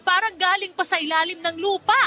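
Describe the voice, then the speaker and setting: A woman's voice: very high-pitched, fairly even intonation, brisk, sharp tone. A woman acting a teasing, affectionate street-vendor mother in a Tagalog radio drama, bantering in a Filipino accent. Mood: amused teasing.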